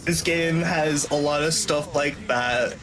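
A man's voice: fairly high pitch, slow pace, rough recording. A young man giving casual, chatty speedrun commentary, explaining as he plays and swearing casually. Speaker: speedrunner playing live at a charity marathon. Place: small indoor room at home. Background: video game music.

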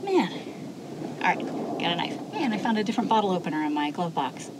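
A woman's voice: on the low side, big pitch swings, quick pace. A middle-aged woman cooking in a camper van, chatting and muttering to herself as she works on camera. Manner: chatty, thinks aloud, mutters to herself while working. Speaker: camping vlogger. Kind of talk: casual hands-on demo. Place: inside a parked camper van. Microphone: camera mounted inside the van. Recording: loud background noise in a van.

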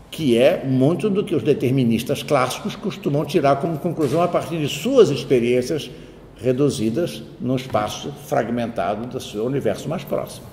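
A man's voice: on the high side, dark tone. A man giving a university lecture, reasoning aloud in a discursive, digressive way and checking in with tag questions. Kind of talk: spoken lecture. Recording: roomy hall with faint ambient noise.

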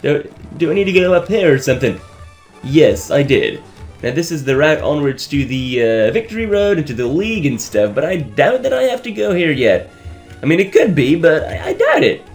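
A young man with a Belgian accent, raspy from just waking up, giving rambling, joking commentary over a game he is playing and often stumbling over his words. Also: on the high side, big pitch swings, moderate pace, soft background music. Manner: rambling, stammering restarts, joking, laughs often. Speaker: let's play host. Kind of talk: casual gameplay commentary.

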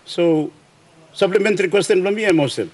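Halting, higher-voiced Papua New Guinean man. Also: a little mellow, husky. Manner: halting, many fillers and restarts. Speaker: provincial governor asking a question. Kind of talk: parliamentary question time, mixing Tok Pisin. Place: large parliament chamber. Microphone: desk mic behind a plexiglass screen.

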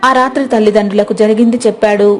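A woman narrating a moral folk tale in an even, clear storytelling manner. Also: medium pitch, very fast. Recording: music playing behind.

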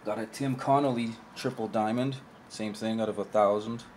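A young man with a slightly low voice talks casually into a table camera in his room, showing off cards. His delivery is offhand and mumbly, often trailing off mid-thought. A faint room hum sits behind him. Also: steady tone, normal speed, a little mellow. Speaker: card collector vlogger. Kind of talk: casual card showcase commentary. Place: indoor room with shelf of collectibles.

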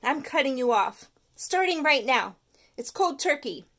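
A woman voicing a young boy with a sweet tooth, high and pleading. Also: expressive, slow pace, full character voice. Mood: determined. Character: whiny sugar-loving young boy.

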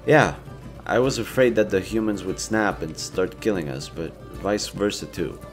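A young man playing a playful, self-assured elven leader in a romantic audio roleplay, casual, teasing and expressive. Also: sits low, normal speed, soft background music. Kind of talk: intimate romantic roleplay acting, conversational.

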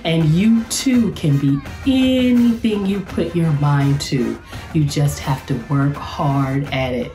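A middle-aged woman reads to children like a teacher at story time. Her voice is fairly low and swings widely in pitch as she enunciates at an unhurried pace. The room adds some echo. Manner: expressive, deliberate enunciation, addressing young listeners. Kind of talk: children's read-aloud storytelling. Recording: roomy, echoey sound.

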